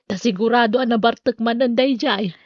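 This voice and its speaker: A woman's voice: fairly high pitch, steady tone, normal speed, no echo, lo-fi sound, lightly acted. A woman with an Ilocano accent playing a protective, disapproving mother of a grown daughter in a radio-style drama.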